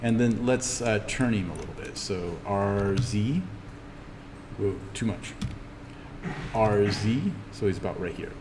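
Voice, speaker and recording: Low-voiced man teaching in an echoey, noisy room. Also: animated. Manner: halting, thinking aloud while demonstrating. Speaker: college instructor. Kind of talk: informal in-class software tutorial. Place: classroom. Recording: reverberant room, clearly noisy background.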